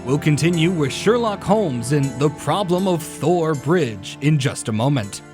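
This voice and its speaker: An old-time radio announcer and sponsor pitchman. His voice sits fairly high and swings showily for emphasis, with music clearly playing underneath. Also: lively intonation. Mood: promotional. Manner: emphatic, showy, deliberate pauses. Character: polished male radio show announcer, enthusiastic sponsor pitchman.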